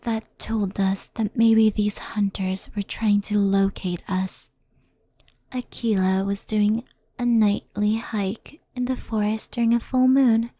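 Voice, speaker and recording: A woman playing a gentle, wistful fox spirit in an ASMR roleplay, speaking softly and often in a whisper, close to the microphone. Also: mid-range voice, steady tone, no room sound. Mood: somber, hushed. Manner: soft-spoken, often whispery, unhurried explaining. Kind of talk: ASMR roleplay, soft storytelling. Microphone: close headphone ASMR microphone.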